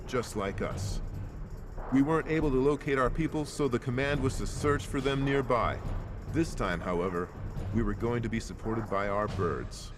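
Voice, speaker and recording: An actor playing a grave soldier who narrates a war mission in a measured, solemn, scripted way. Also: male voice, mid-range voice, lightly acted.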